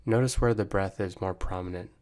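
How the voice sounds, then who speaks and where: A man guiding a body scan meditation, giving gentle, measured instructions with long pauses. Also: low voice. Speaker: meditation guide, mental performance coach.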